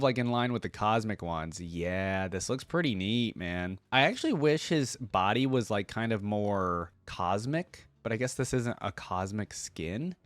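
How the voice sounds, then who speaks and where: A man's voice: lowish voice, dry recording. A man giving casual, off-the-cuff reaction commentary, informal and opinionated as he thinks aloud. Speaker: YouTube reaction host.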